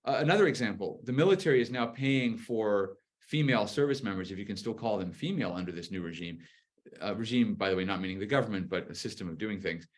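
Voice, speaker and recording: A man with a lowish voice speaks as a political commentator in a live conversation. He is articulate and explanatory, building an argument point by point in a conversational style. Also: expressive, fast talker.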